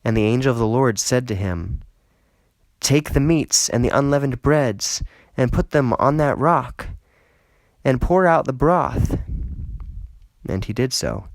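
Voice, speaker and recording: Slow-paced man reading scripture. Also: mid-range voice, expressive, no room sound. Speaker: audiobook reader.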